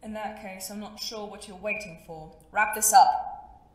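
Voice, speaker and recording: A woman's voice: mid-range voice, steady tone, measured pace, darkish tone, lightly acted. Woman playing a suave supervillain boss, echoing. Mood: cool authority. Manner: polite, condescending, sardonic. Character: suave, menacing masked supervillain crime boss. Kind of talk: audio drama acting. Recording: roomy, echoing sound.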